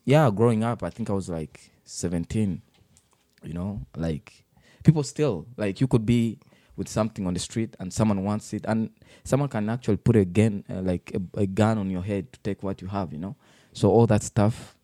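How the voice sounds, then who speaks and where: A man's voice: fairly low pitch, normal speed, warm tone, no room sound, clean studio sound. A young man in his twenties from the Congo, raised in Uganda, telling his life story in an interview with many fillers and restarts. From Democratic Republic of the Congo, raised in Uganda. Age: 20s.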